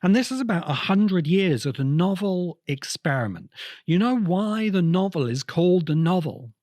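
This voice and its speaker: A man hosting a podcast, delivering an explanatory cultural history monologue with emphatic, halting phrasing. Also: sits high, lively intonation, darkish tone, dry recording, clean studio sound. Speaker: podcast host.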